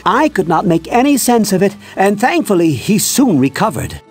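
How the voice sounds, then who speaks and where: An earnest village healer from a fantasy game. He is learned and compassionate, with very lively intonation over faint music. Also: sits high, normal speed, husky, heavily acted. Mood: sober recounting.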